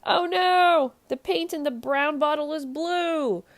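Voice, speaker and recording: A woman voicing an excitable young schoolgirl animal in a storybook, dramatic and exclamatory. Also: sits high, slow pace, voice pushed up. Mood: alarmed.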